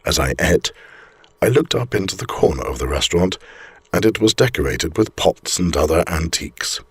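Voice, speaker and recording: A man reading a scripted ghost story aloud as a storyteller, with measured, deliberate and theatrical phrasing. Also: low-pitched, breathy edge, no room sound.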